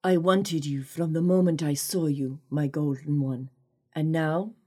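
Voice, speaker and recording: A woman voicing a scornful, menacing and seductive male desert sheikh in an audiobook, with a calm, slow, imperious delivery. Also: low-pitched, slightly rough. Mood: possessive, seductive.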